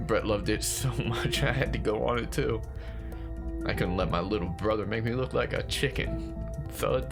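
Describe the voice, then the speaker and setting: A man's voice: fairly low pitch, vocal fry. A man playing an anxious, grieving older brother recording confessional horror voice notes, jittery, stammering and questioning himself. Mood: frightened, weary. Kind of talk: found-footage horror audio monologue, confessional voice notes.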